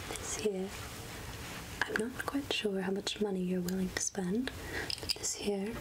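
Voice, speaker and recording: A three-hundred-year-old witch of the woods, played by a woman, speaks in a breathy, whispery hush. She takes her time, musing gently and a little archly to her mortal guest. The delivery is intimate, soft-spoken ASMR roleplay, friendly and curious. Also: medium pitch, lightly acted, low-quality recording.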